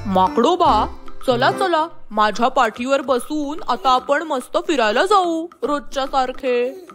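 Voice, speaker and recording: A woman's voice: sits high, expressive, takes it slowly, faint music behind. A woman voicing a gullible, hesitant male crocodile in a children's story, earnest and simple in manner. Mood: coaxing, friendly. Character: gullible, hesitant male crocodile friend, tempted and soft-hearted.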